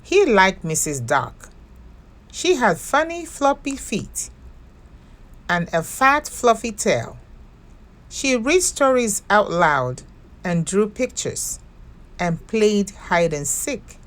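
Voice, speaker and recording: A woman hosting storytime, narrating a children's picture book with expressive, deliberate phrasing for young listeners. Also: medium pitch. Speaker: storytime host and reader.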